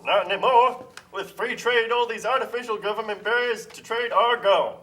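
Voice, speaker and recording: A man voicing a pompous puppet-show pitchman for free trade, lecturing smugly like a salesman. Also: normal speed, a bit bright, distant mic, poor audio. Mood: smug, promoting. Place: community TV studio.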